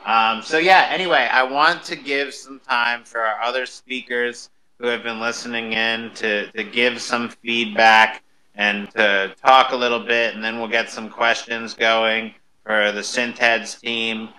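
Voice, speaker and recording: A man's voice: medium pitch, fairly even intonation, unhurried, sharp tone, slight background noise, poor audio. A man hosting a casual panel talk, informal and enthusiastic, with slangy promotional patter. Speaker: host of an audio panel, music performer.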